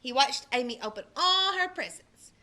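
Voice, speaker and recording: Bright-voiced woman reading a storybook aloud. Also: high voice, moderate pace. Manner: expressive, puts on character voices in quotes. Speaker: librarian reading a picture book aloud.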